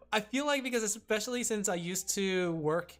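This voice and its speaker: A man's voice: sits high, animated, normal speed. A man streaming and chatting casually with his viewers, rambling with lots of fillers and frequent giggles.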